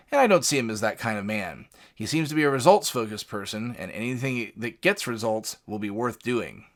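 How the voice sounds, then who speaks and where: Male podcast host, medium pitch, highly varied intonation, scripted commentary narration. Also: fairly bright tone.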